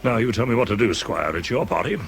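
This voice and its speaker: An actor playing a kindly, unflappable British army officer in a radio drama, breezy and encouraging in clipped old-chap speech. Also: male voice, lowish voice, animated, quick pace, slight background noise. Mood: brisk, helpful.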